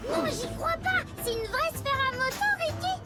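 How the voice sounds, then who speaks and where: A woman voicing an excitable, cheeky young talking motorbike in a children's cartoon, exclamatory and dramatic. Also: extremely high pitch, moderate pace, over loud music. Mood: excited, pleading. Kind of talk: animated children's cartoon dubbing.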